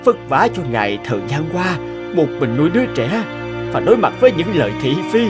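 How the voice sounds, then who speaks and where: A man's voice: a little high, very animated, a little fast, music in the background. A man voicing a humble, apologetic old family patriarch in a cartoon folk tale. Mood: contrite.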